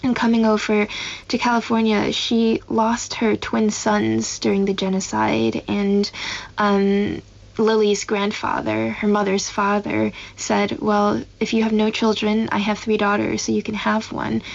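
A woman in her twenties, a novelist, giving thoughtful, measured interview answers. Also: medium pitch, level tone, creaky, highs cut off. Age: 20s. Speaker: novelist guest.

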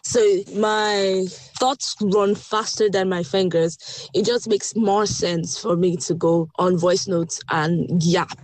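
A woman chats quickly and freely, as in a voice note. The recording sounds close and dry, with no room around her. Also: mid-range voice, no room sound. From Nigeria. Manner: chatty, lively and quick. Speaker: journalist.

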